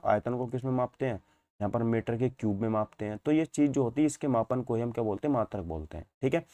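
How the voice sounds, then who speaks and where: A man teaches an online class in a dark, mellow voice at a middle pitch. He explains step by step, repeats key points and often asks a question before answering it himself. The sound is dry, with little room around the voice. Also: dry recording. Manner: repetitive, asks and answers own questions, frequent check-in tags. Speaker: physics teacher. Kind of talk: informal online exam-prep lecture in Hindi mixed with English terms.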